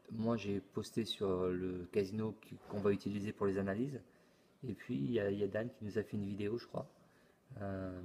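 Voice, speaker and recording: Low flat-voiced man, halting and full of fillers. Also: slightly rough. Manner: halting, many repeated words and "euh" fillers; plain explanatory tone. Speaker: YouTube channel host. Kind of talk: casual screen-recorded forum walkthrough.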